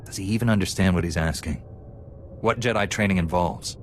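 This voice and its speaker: An actor playing a confident, steady young Jedi apprentice in a video game, firm and matter-of-fact. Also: male voice, low voice, brisk, a little hiss or hum, lightly acted. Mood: calm, questioning. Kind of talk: heroic video game dialogue.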